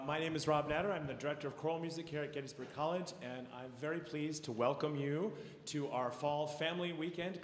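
A man's voice: a little high, a little hiss or hum. A middle-aged man with a cold and a slightly worn voice, a choir director casually and encouragingly addressing a concert audience on a handheld mic on a recital hall stage. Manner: friendly, informal and encouraging. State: has a cold, voice a little worn. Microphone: handheld mic heard from a far camera. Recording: echoey hall from far off.

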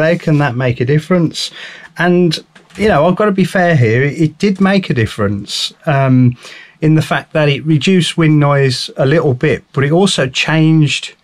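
A man, a motorcycle vlogger giving a casual, rambling gear review to camera, with frequent ums and restarts. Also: a little high. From England.

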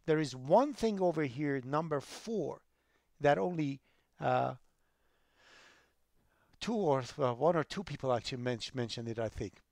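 A middle-aged man, a college programming instructor lecturing online over a headset, emphatic and rising loud when he makes a point. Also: a little high, dry recording, clean studio sound. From Iran. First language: Persian.

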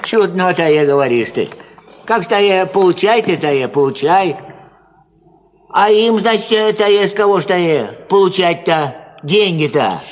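An actor playing a pious, simple old peasant who stammers and stumbles over filler words in a 1950s Soviet radio play. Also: male voice, high voice, lively intonation, a little hiss or hum. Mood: doubting. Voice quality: halting, tongue-tied, full of filler words. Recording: 1950s radio recording, long reverb.

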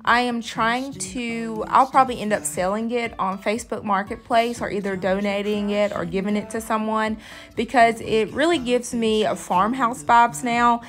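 A woman vlogger giving a casual, conversational voice-over. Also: on the high side. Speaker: vlogger, host of a cleaning channel. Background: music.

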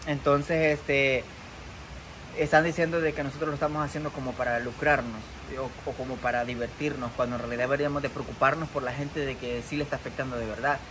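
A man's voice: mid-range voice, flat delivery, brisk. Earnest young man vlogging outdoors. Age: young adult. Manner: earnest, defensive, repeats himself with many fillers. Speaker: content creator. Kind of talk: vlog to camera. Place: outdoors by a muddy flooded rural road. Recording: outdoor noise behind. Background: outdoor ambience.

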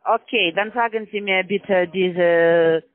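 A man on a call-center line, his voice high and his delivery slow, careful and halting. He speaks German with non-native grammar and repeats everything back. The sound is tinny and dry, squeezed through a phone. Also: steady tone.

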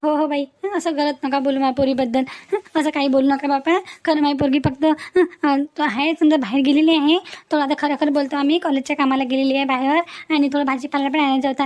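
High-voiced pleading woman as mother, Vidarbha accent. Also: darkish tone, natural voice. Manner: pleading, repetitive. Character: anxious, pleading mother defending her daughter. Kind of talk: cartoon family melodrama.

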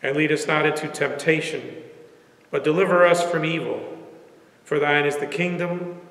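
A man in his sixties speaking as a pastor leading worship, formal and measured, in a large reverberant sanctuary. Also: fairly high pitch, a bit bright. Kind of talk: church prayer and sermon. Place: large empty church sanctuary, indoors. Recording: echoey church sanctuary, long reverb.

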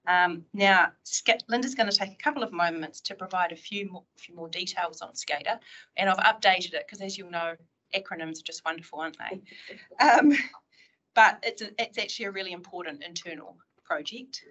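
Bright-voiced New Zealand woman briefing a council. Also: on the low side, brisk, poor audio. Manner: opens with a Māori greeting, steady presenting with fillers. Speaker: council staff presenting project updates. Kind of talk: formal briefing at a council meeting. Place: large council meeting room.

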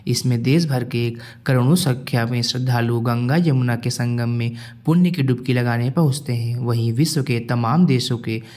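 A man hosting his own channel, reading a formal local news update as a voice-over in a steady tone. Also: mid-range voice, moderate pace. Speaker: YouTube channel host.

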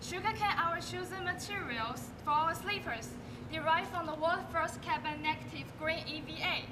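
A young woman in a student stage skit, reciting sales-pitch lines. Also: moderate pace. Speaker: student performer. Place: large school auditorium stage. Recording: distant, noisy hall recording.

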